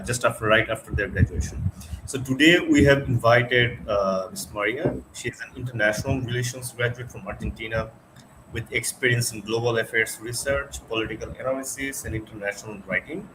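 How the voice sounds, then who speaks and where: A Bangladeshi man with a Bangladeshi accent, hosting an online interview, talking slowly with many uh fillers. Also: medium pitch, noisy background. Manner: slow, with frequent uh fillers. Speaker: webinar host.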